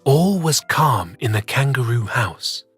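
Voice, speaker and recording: A playful storyteller hosts and reads for young children with expressive delivery. The voice is husky and mid-pitched, with faint music behind it. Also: unhurried. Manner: playful, addresses young listeners directly. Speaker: children's story host and reader. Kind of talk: expressive presenting and storybook narration.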